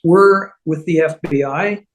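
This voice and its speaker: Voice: medium pitch, unhurried, a little hiss or hum, lightly acted. An amateur actor playing a nervous, stammering schemer in a TV comedy table read, improvising cover stories. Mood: nervous bluffing.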